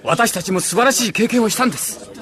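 A man's voice: high-pitched, fast, a bit bright. A man playing an earnest, devout disciple in a radio drama, speaking emphatically. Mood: excited.

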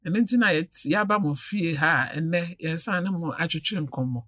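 A woman's voice: low-pitched, moderate pace, fairly bright tone, dry recording, clean studio sound. A woman, a guest on a radio talk show, speaking earnestly and laughing easily. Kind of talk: casual radio chat.

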